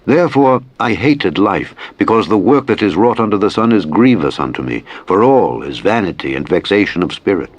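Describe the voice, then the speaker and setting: A man reading scripture as a solemn audiobook, in a measured, formal, declamatory manner. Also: on the low side, expressive. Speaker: scripture reader. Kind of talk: solemn Bible audiobook narration. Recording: dull, muffled, lo-fi audio.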